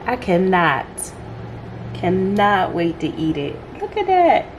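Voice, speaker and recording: A woman hosting a casual home cooking vlog, her voice stuffy and congested, chatting in an unhurried way with lots of fillers. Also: medium pitch, very animated, slight background noise, rough recording. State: stuffy, congested voice after a sore throat. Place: home kitchen.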